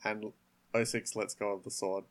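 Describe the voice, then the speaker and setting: A man narrating his character's actions in a tabletop game, casual and a little halting. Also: lowish voice, flat delivery. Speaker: player in an actual play podcast.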